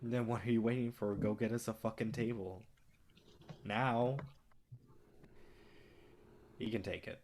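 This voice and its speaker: A man's voice: lowish voice, steady tone, unhurried, long pauses, a little mellow, a little hiss or hum. A man acting in a staged play reading as a brash, cocky film distributor, swaggering and persuasive with plenty of profanity. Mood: pushy, persuasive. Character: brash, cocky, foul-mouthed male film distributor, smooth manipulator.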